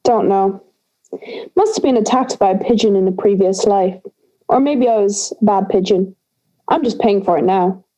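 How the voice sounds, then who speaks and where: A woman's voice: medium pitch, normal speed, dark tone, lightly acted, rough recording. A young woman playing a wry schoolgirl friend, sheepish but bossy, in a conversational audio drama scene. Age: young adult.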